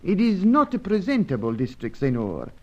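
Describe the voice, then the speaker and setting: A man's voice: high-pitched, wildly swooping pitch, moderate pace, mellow, dull audio, full character voice. A man playing a loyal, shady South American manservant in a spy radio serial, speaking in formal, ornate, deferential phrases. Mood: sly, grave. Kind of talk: old-time spy radio serial acting.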